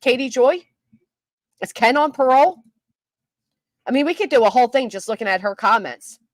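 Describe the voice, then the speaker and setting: A woman's voice: fairly high pitch, lively intonation, moderate pace, no echo. Woman, casual stream commentary, skeptical, reads chat aloud, dry close sound. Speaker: commentator reacting to a live stream.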